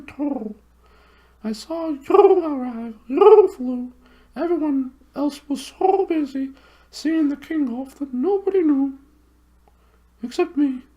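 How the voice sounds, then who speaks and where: Squeaky hooting old owl. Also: male voice, warm tone, dull audio. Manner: hooting, breathless. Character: fussy old male owl, sleepy and hooting.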